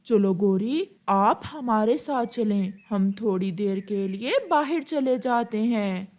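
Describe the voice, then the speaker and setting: A woman voicing a calm, helpful young male pigeon who advises politely in an animated moral story. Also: mid-range voice, slow.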